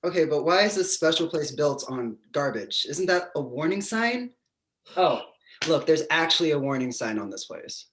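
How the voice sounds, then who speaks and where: An actor playing a quirky, quick-witted male love interest in a screenplay table read, glib and theatrical. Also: fairly high pitch, sing-song, fairly bright tone. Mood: bemused, snarky.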